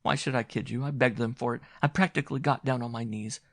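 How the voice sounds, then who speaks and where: A man's voice: mid-range voice, steady tone, fast, dry recording, clean studio sound, pitched above the performer's own voice, lightly acted. A man voicing a faded, self-pitying middle-aged actress who talks in melodramatic wails. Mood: pleading. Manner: wailing, melodramatic.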